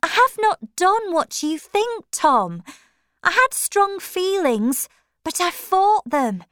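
Earnest young storybook heroine pleading her case as a lady reader voices her, voice swooping way up, slow. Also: big pitch swings, a bit bright, dry recording, studio quality, full character voice. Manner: earnest, pleading, raised pitch. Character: distressed young woman, firm and principled.

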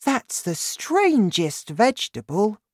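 Little storybook girl, played by a man: outspoken, exclaiming, high pitched, hugely animated intonation, unhurried and earnest. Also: no echo, studio quality, exaggerated voice. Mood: curious.

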